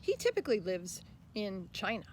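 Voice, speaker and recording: A woman presenting a children's picture book in an expressive read-aloud style, speaking directly to young listeners. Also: medium pitch, moderate pace. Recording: clear background noise, animal sounds.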